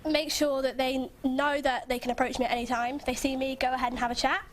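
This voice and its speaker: A woman's voice: high-pitched, slight background noise, poor audio. A young woman running for student governor, confident and speaking in long sentences. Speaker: candidate.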